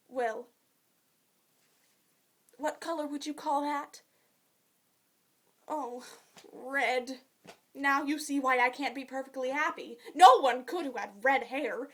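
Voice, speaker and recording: A woman performing a dramatic monologue as a talkative, starry-eyed young orphan girl, effusive and dreamy in a theatrical way. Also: sits high. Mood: happy, hesitant, then dramatic, sorrowful. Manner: effusive, dreamy, theatrical, swinging between gushing and wistful.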